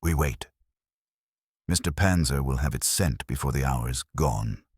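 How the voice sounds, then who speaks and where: A man's voice: rumbling low, unhurried, breathy edge, dry recording, clean studio sound, heavily acted. A man voicing an imperious, pedantic master detective with pompous, formal and precise speech in an audiobook. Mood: lofty certainty.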